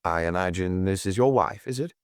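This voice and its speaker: A man voicing a detective chief inspector in an audiobook, quiet, measured and persistent in his questioning. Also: low-pitched, smooth, no echo, clean studio sound, natural voice. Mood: polite, probing. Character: calm, probing male detective chief inspector.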